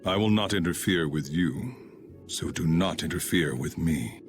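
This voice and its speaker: Game role: stern, aloof guardian swordsman, very low, slow, formal delivery over faint music. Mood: cold, solemn. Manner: formal, solemn, measured. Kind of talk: video game cutscene acting.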